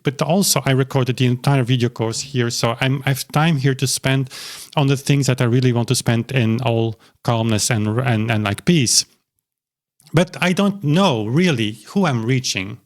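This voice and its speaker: Rambling man talking to camera. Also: mid-range voice. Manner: rambling, with many restarts and fillers. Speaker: YouTube channel host. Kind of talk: casual talk to camera. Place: small cozy home studio.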